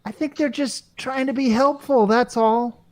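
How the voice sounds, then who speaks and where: A woman's voice: fairly high pitch, steady tone, unhurried, a little mellow, close to an everyday voice. A woman playing an earnest, flustered male company technician in a radio comedy, hesitant and defensive. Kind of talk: old-time radio comedy acting.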